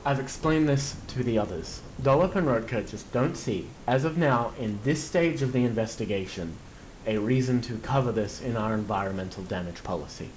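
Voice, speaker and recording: A man's voice: medium pitch, lively intonation, normal speed, a little mellow, a little hiss or hum, natural voice, poor audio. A man acting as an anxious, defensive corporate city man in an audio drama, officious and pleading by turns.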